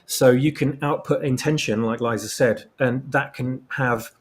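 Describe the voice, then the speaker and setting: A man's voice: medium pitch, fairly even intonation, unhurried. Man explaining lore in casual discussion. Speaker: fan fiction author and podcast guest.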